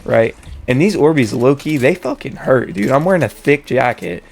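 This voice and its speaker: A young man, slightly hoarse and stuffed up with a cold, telling a story in casual, slangy, sweary talk. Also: medium pitch, expressive, moderate pace, darkish tone. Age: young adult. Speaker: storytelling host.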